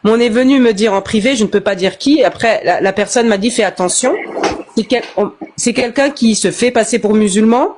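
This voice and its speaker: High-voiced woman in a live chat, blunt, cuts in, keeps restarting. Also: fairly quick, background noise. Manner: blunt, talks over others, frequent restarts. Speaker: live stream participant. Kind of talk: casual heated discussion.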